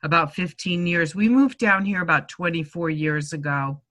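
A woman in her sixties with a Boston accent, a chef telling stories as an interview guest in a chatty, lively way. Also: lowish voice, moderate pace. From Connecticut, USA. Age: 60s. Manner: chatty, warm storytelling, laughs often. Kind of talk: casual conversation.

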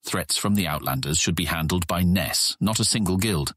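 Deep, stern, commanding guild deputy. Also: male voice, moderate pace, a bit bright, slightly rough, dry recording, clean studio sound. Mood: guarded, commanding. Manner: authoritative, formal, condescending. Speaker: voice actor in an audiobook drama. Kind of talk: full-cast fantasy audiobook acting.

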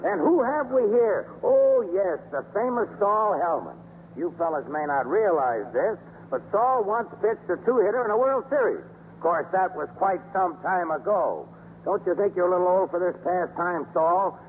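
An American actor playing an abusive, sarcastic baseball team manager in a 1950s radio drama, blustering and sneering. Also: male voice, high-pitched, expressive, normal speed. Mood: sneering sarcasm. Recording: noisy, tinny old radio broadcast.

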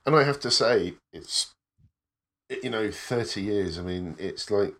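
A man with a very deep voice talks things over on a casual podcast. He is reflective and unhurried, and he keeps returning to "you know.". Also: lively intonation, a bit bright.

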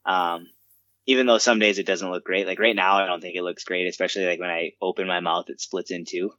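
A man with a fairly low voice talks through his thoughts on a casual podcast, trailing off with fillers and poking fun at himself. The sound is dry and close, with no room around it. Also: fairly even intonation, fairly quick, bright, forceful tone, dry recording, dull audio. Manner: self-deprecating, rambling with fillers. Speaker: podcast co-host. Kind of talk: casual chat.

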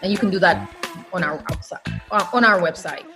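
Woman, podcast host, playful, casual chat, soft music in back. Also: on the low side, animated, brisk. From Netherlands. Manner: playful, joking asides.

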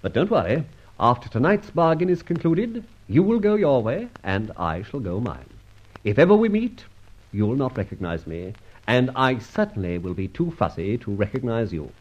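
Radio serial: suave, mock-courteous masked rogue, hugely animated delivery, slightly husky, pompous and teasing. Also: male voice, mid-range voice, moderate pace. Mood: smooth, mocking. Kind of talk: old radio serial acting. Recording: dull old radio recording.

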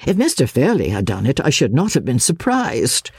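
A woman voicing an elderly gentleman lawyer who narrates a classic novel in a formal, deliberate, self-assured manner. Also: a bass voice, wildly swooping pitch, creaky, husky, dry recording, exaggerated voice. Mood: measured, piqued. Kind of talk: first-person literary narration.

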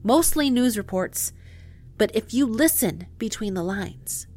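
Chatty emphatic lady running moon base supplies, high swoopy voice, takes her time. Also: lively intonation, measured pace, a little mellow. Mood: amused, informative. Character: chatty, frustrated woman inventory chief of a moon base. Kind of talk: audio drama acting.

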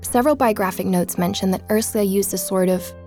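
A woman playing an earnest investigative journalist, narrating a podcast-style audio drama in a measured, inquisitive way. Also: mid-range voice, dark tone, soft background music, natural voice. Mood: measured, informative. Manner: inquisitive, measured storytelling with rhetorical questions.

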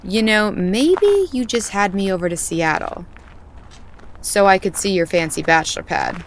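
An actress playing a skeptical, worried friend with a wry, teasing manner in an audio drama. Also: female voice, mid-range voice, animated, a little slow, a little hiss or hum.

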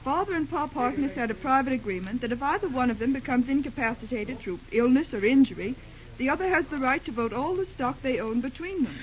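A woman's voice: sits high, expressive, fast. A worried young railroad woman. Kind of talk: 1930s radio drama acting. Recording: dull old radio recording, faint hiss.

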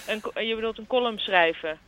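A man's voice: high voice, unhurried, pushed and bright. A man playing a polite, increasingly baffled columnist in a radio comedy, hesitant, heard through a telephone effect. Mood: polite, puzzled. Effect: telephone filter. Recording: dull, narrow phone-line sound.

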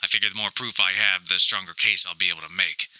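A man's voice: low-pitched, fairly even intonation, quick pace, bright, forceful tone, no echo, low-quality recording. A man playing an anxious, wry grown son recording a wordy, mock-dramatic audio diary. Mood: uneasy, insistent. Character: anxious, wry grown son lost in hoarder mother's house. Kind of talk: scripted comic audio diary monologue.

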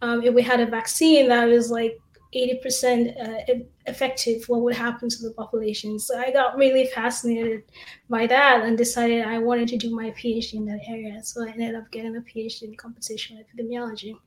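A woman from Cameroon in her thirties answers interview questions in a steady, explanatory way. Her voice sits fairly high and stays level in pitch. The recording has a noticeable room echo. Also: brisk. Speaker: assistant professor, guest.

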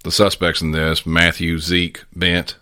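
Male podcast narrator, extremely low pitch, matter-of-fact, little inflection, true-crime recap. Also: monotone, measured pace, bright, forceful tone, clear, resonant tone, no room sound, studio quality. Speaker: podcast host.

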